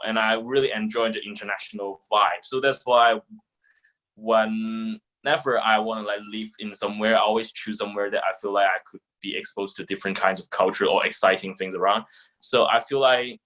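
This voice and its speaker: A man's voice: lowish voice, steady tone, brisk, bright, forceful tone, poor audio. A man in his twenties with a Hong Kong accent, answering casually and informally as a podcast guest. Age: 20s. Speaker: podcast guest, young lawyer.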